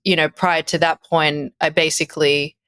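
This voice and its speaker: Low-voiced Australian woman chatting. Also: flat delivery, moderate pace, a bit bright, clear, resonant tone, no room sound. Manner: chatty, laughs often, restarts mid-sentence. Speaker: podcast guest, musician. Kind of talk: casual conversation.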